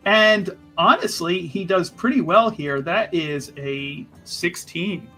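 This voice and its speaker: A man playing a tabletop roleplaying game, casually making up his character's story in an animated way. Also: high-pitched, slow pace, faint music behind. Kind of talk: casual improvised storytelling, describing own character.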